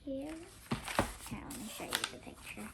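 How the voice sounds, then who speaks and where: An eight-year-old girl reading a storybook aloud, carefully and a little haltingly, with expression. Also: medium pitch, wildly swooping pitch, creaky. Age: child of 8. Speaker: young reader. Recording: noisy home recording.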